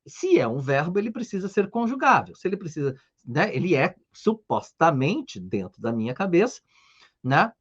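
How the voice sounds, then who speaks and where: Lively Brazilian man teaching language. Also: on the high side, lo-fi sound. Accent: Brazilian Portuguese. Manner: explanatory, rhetorical questions, frequent "né?". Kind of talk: talking-head language lesson.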